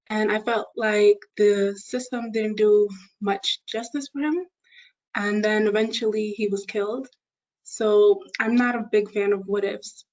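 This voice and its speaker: A young woman advocate sharing a personal story on a panel, earnest and halting, as if searching for words, on a video call. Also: mid-range voice, darkish tone. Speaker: youth advocate. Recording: muffled lo-fi online audio.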